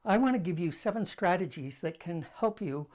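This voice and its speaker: An older man with a soft voice, hosting a casual talk to camera, telling stories in a conversational, self-deprecating way. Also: high-pitched, lively intonation, normal speed. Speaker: host.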